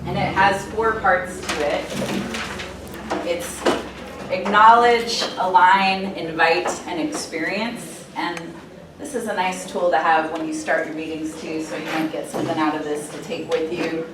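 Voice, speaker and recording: A woman with a low voice addresses a conference crowd. She projects out to the room with an earnest, engaging manner. Her voice echoes around a large hall, picked up from far off with constant room noise. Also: fairly even intonation, a little slow. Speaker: conference presenter, program manager. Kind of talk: conference presentation.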